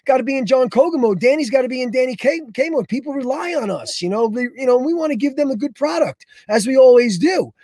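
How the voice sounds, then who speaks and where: Raspy, stuffy-nosed man, high voice. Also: fast, few pauses, no echo, studio quality. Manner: lively, animated, joking. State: nasal from allergies. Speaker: fitness instructor and podcast co-host. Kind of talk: casual podcast chat.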